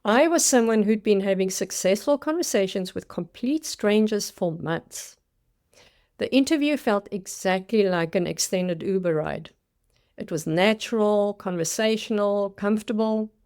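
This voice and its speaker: A woman's voice: medium pitch, lively intonation, a little slow, darkish tone. South African woman, 60s, career coach delivering a dramatic scripted personal story. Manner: dramatic storytelling with acted-out quotes.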